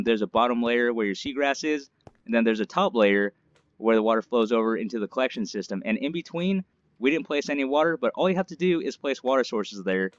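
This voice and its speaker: A man's voice: mid-range voice, no echo. A man narrates his survival game session in a loose, rambling way, dropping fillers as he builds. His nose is stuffed up from allergies, and his mid-range voice comes through slightly dull, lo-fi audio. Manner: chatty, rambling, many fillers. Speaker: gaming channel host. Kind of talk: casual let's-play commentary.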